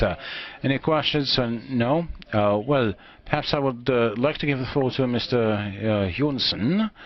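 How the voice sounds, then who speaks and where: A man chairing a conference panel, speaking in a halting way with many hesitations. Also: fairly low pitch, highs cut off. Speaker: panel moderator.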